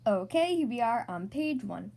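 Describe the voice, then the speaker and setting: A woman reading a children's storybook aloud as host, in an expressive, animated narrating style. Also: fairly high pitch, normal speed, vocal fry. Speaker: storybook reader, podcast host.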